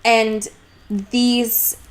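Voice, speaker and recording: A woman's voice: a little high, level tone, drawn-out words, a little hiss or hum. A woman co-hosting a casual book discussion podcast, talking in an irreverent, sarcastic, sweary way. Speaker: podcast co-host.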